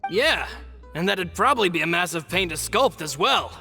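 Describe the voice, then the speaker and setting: A man's voice: high voice, animated, moderate pace, over loud music. An actor playing a loyal, easygoing right-hand man of a guild boss in a game cutscene. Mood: dry. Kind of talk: video game cutscene dub.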